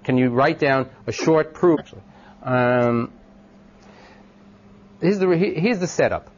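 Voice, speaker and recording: Loud-voiced male lecturer for an informal class talk, mid pitch, muffled old recording. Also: slow. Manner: thinks aloud with many ums and restarts, asks the class questions.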